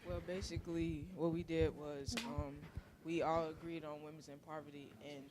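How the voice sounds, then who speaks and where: A man informally explaining a group project piece by piece, haltingly, faint in a noisy live room. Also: fairly high pitch, little pitch movement. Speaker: student performer answering questions.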